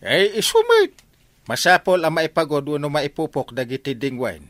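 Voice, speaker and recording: A man's voice: a little high, wildly swooping pitch, moderate pace, a bit bright, no room sound, full character voice. Wildly swooping, blustering village captain, played by a man. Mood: exasperated. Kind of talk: comedy radio drama acting.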